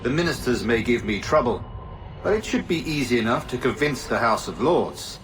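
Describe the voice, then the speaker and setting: A man's voice: mid-range voice, lively intonation, moderate pace, a bit bright, close to an everyday voice. Formal, cautious male politician over noisy ambience actor. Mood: cautious, agreeable. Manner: formal, considered. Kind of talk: dramatic video game cutscene acting. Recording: clear background noise.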